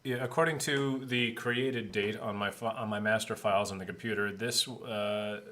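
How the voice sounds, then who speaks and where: A man's voice: fairly low pitch, steady tone, moderate pace, sharp tone, slight background noise. A man co-hosting a podcast with casual, joking chat and a wry, mock-formal manner. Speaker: podcast co-host. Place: indoors, in one room with the co-hosts.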